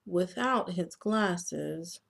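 Female storybook narrator, American, unhurried and expressive read-aloud, mid-range voice with gravelly creak. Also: on the low side. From United States. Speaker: children's author reading own book. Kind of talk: scripted storybook narration for children.

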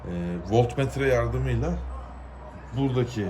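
A man's voice: mid-range voice, measured pace, background noise. A man from Turkey gives an informal, unscripted how-to, explaining step by step in plain, colloquial words. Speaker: hobbyist giving a DIY repair tutorial.

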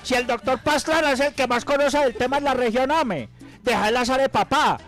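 An actor playing a sly, partying football boss with a Colombian paisa accent and exclamatory, slangy talk in a comic radio soap. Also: male voice, very high-pitched, fairly quick, fairly bright tone, soft background music. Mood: dismissive. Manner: exclamatory, drawling slangy catchphrases.

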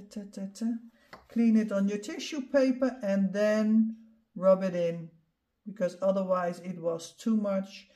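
A middle-aged Dutch woman talks through her painting in English, her second language. She speaks unhurriedly, with a mellow, soft tone, explaining each step calmly as she demonstrates. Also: mid-range voice. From Netherlands. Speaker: art instructor. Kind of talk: painting tutorial voice-over.

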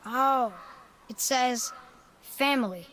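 A woman's voice: high-pitched, takes it slowly, a bit bright, a little hiss or hum. A woman voicing an eager, curious young boy in a video game, earnest and inquisitive. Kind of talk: video game cutscene acting.

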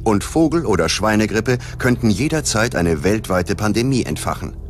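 A man narrating a scripted documentary in a dramatic, ominous and measured way. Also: fairly low pitch, animated. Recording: music under the voice. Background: prominent background music.

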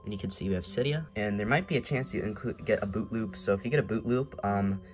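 A teenage boy with a lowish voice, stuffed up with a cold, talks through a casual tutorial. He rambles a little and corrects himself as he goes. Music plays behind him in his bedroom recording. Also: fast, a little mellow. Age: teens. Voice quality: congested, stuffy nose. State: congested with a cold. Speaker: tech tutorial host. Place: bedroom at home. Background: background music.

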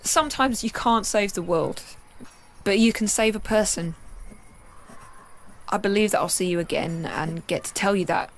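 A woman's voice: medium pitch, normal speed, close to an everyday voice. A woman playing a tender, ageing adventurer reading out a letter home in a plain, informal, wistful way. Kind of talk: in-character letter reading, tabletop roleplay. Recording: clear background noise.

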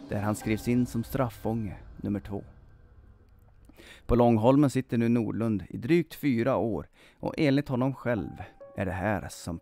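A man in his thirties reading a scripted true-crime story in a measured, dramatic storytelling manner. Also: medium pitch, vocal fry, warm tone. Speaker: storyteller, channel host. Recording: music under the voice. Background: background music.

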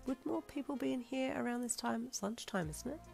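A woman voicing a peppy, easily annoyed young warrior in a casual, expressive way, for an audio story. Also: on the high side, a little fast, faint music behind, voice pushed up. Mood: wondering.

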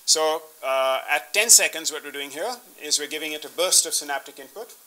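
A man's voice: fairly high pitch, brisk, pushed and bright, poor audio. A man in his fifties explaining slides in a scientific talk as a keynote speaker, often restarting his phrases. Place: indoor conference room, standing at a podium with laptop.

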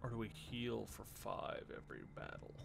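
A man's voice: mid-range voice, steady tone, moderate pace, vocal fry, noisy background. A man, giving casual game commentary and musing over choices in short phrases. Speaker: game streamer. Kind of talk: casual let's play commentary, thinking aloud. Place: indoor room at home. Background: game music.

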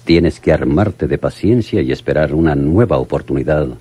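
An actor in a radionovela playing a methodical, steady police lieutenant with a deliberate, authoritative manner. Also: male voice, a bass voice, breathy edge, exaggerated voice. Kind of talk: old radionovela acting.

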